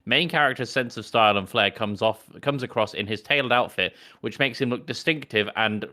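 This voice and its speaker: A man co-hosting a podcast, chatting casually about games in a halting way, pausing between phrases. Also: mid-range voice, sharp tone. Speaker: podcast co-host. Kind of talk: casual gaming podcast chat.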